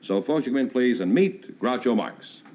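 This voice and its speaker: A man announcing a television quiz show in a booming, showman delivery. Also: lively intonation, moderate pace. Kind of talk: broadcast announcing. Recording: dull old television broadcast audio with band music.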